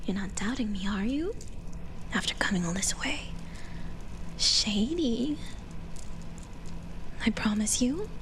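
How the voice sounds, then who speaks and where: A woman voicing a gentle, teasing, enigmatic forest witch healer in an ASMR roleplay, murmuring with pauses in a breathy whisper. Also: medium pitch, lively intonation, a little hiss or hum. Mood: reassuring, sly. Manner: slow, gentle, pausing murmurs.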